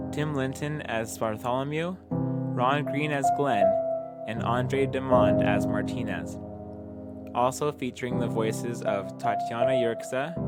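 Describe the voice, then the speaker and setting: Man reading credits over loud music. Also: medium pitch. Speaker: credits announcer. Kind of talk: scripted narration. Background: loud music with piano and keyboard.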